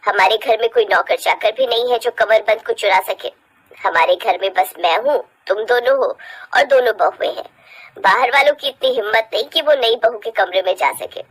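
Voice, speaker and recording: A woman voicing a stern, fair-minded older mother-in-law with a measured, admonishing, authoritative way of talking in a cartoon family story. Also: a little high, close to an everyday voice, rough recording. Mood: stern, accusing.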